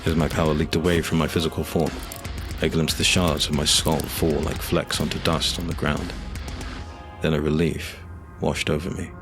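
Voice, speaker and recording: A man's voice: rumbling low, little pitch movement, moderate pace, over music. A man giving dramatic, scripted first-person narration for an audio fiction story.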